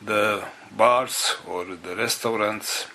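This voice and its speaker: A man leading a group, making organizational announcements in halting, non-native English broken into short phrases with pauses. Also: on the low side, steady tone, creaky, pushed and bright, slight background noise.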